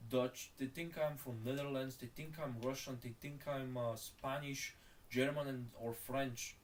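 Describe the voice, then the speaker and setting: A man's voice: medium pitch, steady tone, a little slow, distant mic, a little hiss or hum. Rambling Bulgarian man, a bit off-mic. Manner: rambling, profane, calls the listener bro. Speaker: streamer. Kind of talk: casual rant while gaming.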